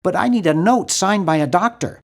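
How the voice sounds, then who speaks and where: A man voicing a nerdy, earnest and anxious young hero in a video game, with a fussy, animated delivery. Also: sits high, a little fast. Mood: insistent. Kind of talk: video game character voice lines.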